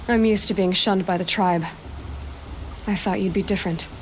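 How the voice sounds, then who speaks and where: An actress playing a proud, guarded young outcast huntress in a video game, sharp-tongued and self-assured. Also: female voice, mid-range voice, steady tone, moderate pace, a little hiss or hum, lightly acted. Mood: guarded, questioning. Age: young adult.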